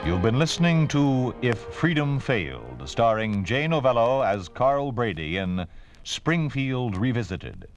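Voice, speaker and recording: A man's voice: mid-range voice, dry recording. A man announcing a 1950s radio program in a solemn, formal manner. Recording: old radio broadcast over music.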